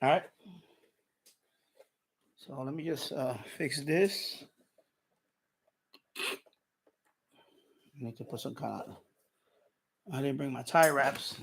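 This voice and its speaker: A man with a rough, gravelly voice chatting casually on a livestream, repeating himself and muttering as he tinkers. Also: medium pitch, extremely slow, pauses often, a little hiss or hum. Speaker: live streamer, radio hobbyist. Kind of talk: casual rambling livestream chat.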